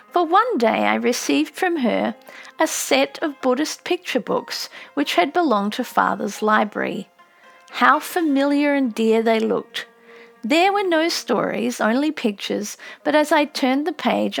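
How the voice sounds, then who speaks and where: Woman, high voice, creaky edges, measured audiobook narration, music underneath. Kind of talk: scripted literary narration, memoir reading.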